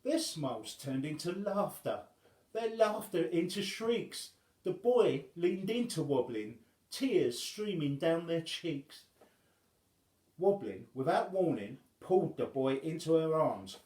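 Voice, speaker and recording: A man reading a rhyming children's story aloud as an expressive storyteller. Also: on the high side, takes it slowly, echoey room, rough recording.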